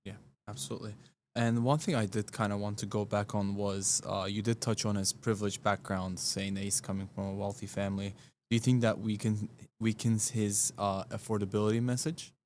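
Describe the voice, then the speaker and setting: Male interviewer: lowish voice, brief prompting questions, slight studio hiss. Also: little pitch movement, brisk, darkish tone. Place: small studio.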